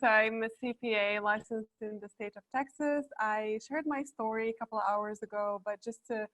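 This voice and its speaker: A woman's voice: a little high, moderate pace, a bit bright, low-quality recording. A woman from Morocco, an accountant, introducing herself as a panelist in a cheerful, friendly way on a video call.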